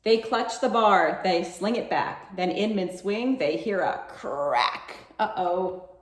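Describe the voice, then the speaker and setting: A woman reads aloud to children with lively, swinging pitch. She takes her time and coaxes listeners with questions, and the room adds noticeable echo. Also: slow. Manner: coaxing questions to young listeners. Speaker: children's library storytime reader. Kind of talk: expressive read-aloud for children. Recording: echoey room sound.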